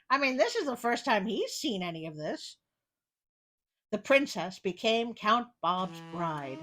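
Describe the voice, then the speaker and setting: A woman reading fairy tales as a storytelling host, expressive, with wry and incredulous asides. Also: on the high side.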